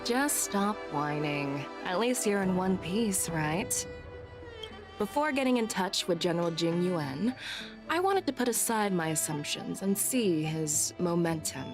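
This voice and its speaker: A self-assured female warrior general from a video game. Her voice sits on the low side, with a commanding, blunt and nonchalant delivery. Music plays clearly behind her. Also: animated, a little slow. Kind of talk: scripted game voice acting.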